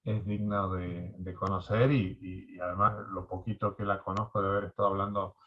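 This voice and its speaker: A man hosting a conversational interview podcast over an online call, speaking courteously with deliberate pauses. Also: deep voice, flat delivery, muffled recording. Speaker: podcast host. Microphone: remote online call.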